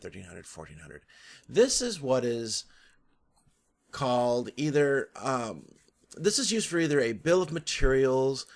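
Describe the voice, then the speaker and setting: Man, medium pitch, instructor, informal tutorial delivery, step-by-step explanations, halting phrasing. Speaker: course instructor.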